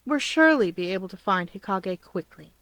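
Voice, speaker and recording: A woman's voice: medium pitch, expressive, fairly quick, noisy background, natural voice. A woman voicing a kind, helpful young man with a reassuring, decisive manner, in a story reading.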